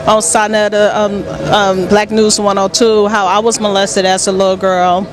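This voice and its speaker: A woman's voice: mid-range voice, flat delivery, normal speed, crowd noise. A woman hosting a conversational interview, frank and supportive, sharing personal experience as she asks questions. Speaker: interviewer, host.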